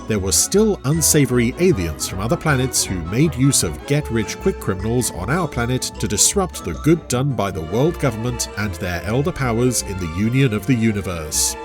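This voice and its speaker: A man narrating a scripted adventure story in a dramatic storytelling style. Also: medium pitch.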